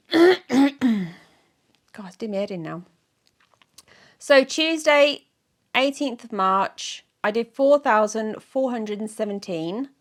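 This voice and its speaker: A middle-aged woman with a croaky, sore-throated voice, chatting casually to camera in a rambling, giggly, self-mocking way. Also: on the high side, lively intonation, slow, pauses often. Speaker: weight-loss vlogger.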